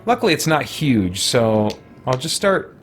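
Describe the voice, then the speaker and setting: A man's voice: mid-range voice, very animated, measured pace, noisy background. An American man chatting casually and in a rambling, laid-back way as he streams a game from his home office on a microphone with a pop guard. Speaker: let's play streamer. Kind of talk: casual gameplay commentary, chatty.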